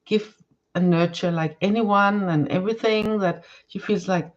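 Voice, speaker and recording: A woman's voice: mid-range voice, steady tone, unhurried, lo-fi sound. Woman reading tarot cards. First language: Danish. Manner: searching for words, many restarts and fillers. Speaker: tarot card reader. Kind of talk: live-stream tarot reading.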